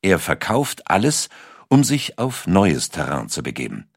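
A man with a deep voice narrates a scripted documentary. His intonation moves widely as he tells the story in a measured, dramatic way. The sound is dry, with no room around it. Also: huge pitch swings, gravelly, slightly rough, dry recording.

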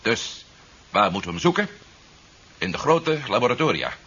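An actor playing a cunning, methodical security agent in a 1970s radio drama, reasoning with assurance. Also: male voice, mid-range voice, very animated, normal speed, bright, forceful tone, breathy edge, heavily acted. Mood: methodical, assured. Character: cunning male Martian-turned security agent hunting fugitives. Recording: muffled, hissy 1970s radio recording.

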